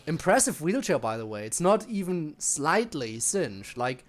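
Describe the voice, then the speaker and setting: A man's voice: a little high, very animated, unhurried. A man giving casual live gameplay commentary, rambling and musing aloud to viewers. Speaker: game streamer.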